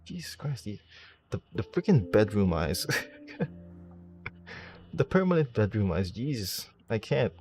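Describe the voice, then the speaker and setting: Low-voiced, exclamatory male game streamer. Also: very animated, a little slow, pauses often, darkish tone. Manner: joking, exclamatory, self-deprecating, often stammering. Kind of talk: casual gameplay reaction commentary. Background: game music and dialogue.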